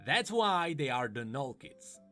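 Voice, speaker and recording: A man's voice: medium pitch, sing-song, measured pace, sharp tone, soft background music. A man giving scripted explanatory commentary in the style of a video narrator. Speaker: lore explainer.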